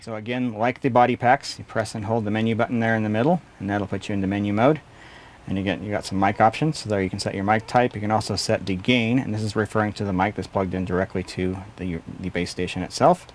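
A man's voice: on the low side, steady tone, fast, slight background noise. A man in his forties giving an explanatory product review at a desk in a small studio office. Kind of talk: explanatory gear review to camera.

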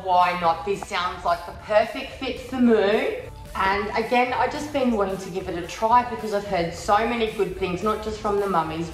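A young Australian woman chatting through a product review vlog at home, bubbly and cheeky, sometimes breaking into little sung bits as she walks around her kitchen. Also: medium pitch. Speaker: vlogger. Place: large modern kitchen and living room indoors. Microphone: phone camera on the bench, moving around the room. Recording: roomy echo with faint music.

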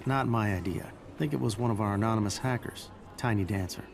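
A man playing a reserved older scientist who calmly leads a resistance in a video game, in a measured, dry manner. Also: lowish voice, creaky, slight background noise. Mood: calm, welcoming. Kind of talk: video game cutscene acting.

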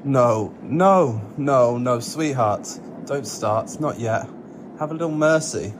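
A man's voice: medium pitch, animated, takes it slowly. A man playing an exasperated, flustered boyfriend in an ASMR audio drama, weary and pleading with his sweetheart. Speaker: voice actor in an audio roleplay. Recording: clear cabin noise behind the voice. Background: vehicle and cabin ambience.

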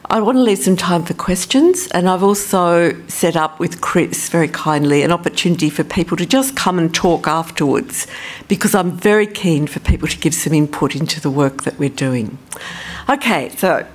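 Low-voiced Australian woman giving a talk. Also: moderate pace. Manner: relaxed, self-deprecating, thinking aloud with fillers. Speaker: conference speaker, professor. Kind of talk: conference presentation.

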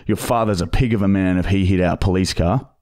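A man plays a foul-mouthed Australian constable in a prank-call comedy. His voice is fairly low, and he leans on the listener with insistent, menacing demands. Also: fairly quick, darkish tone, lightly acted. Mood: angry, abusive. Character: aggressive, foul-mouthed male police constable bullying a suspect. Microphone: close studio boom mic with headphones.

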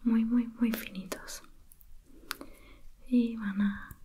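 A woman speaking in a soft, breathy whisper, gently and attentively guiding a listener through an ASMR spa roleplay as a soothing facial therapist. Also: on the high side, fairly even intonation, very slow, a little hiss or hum.

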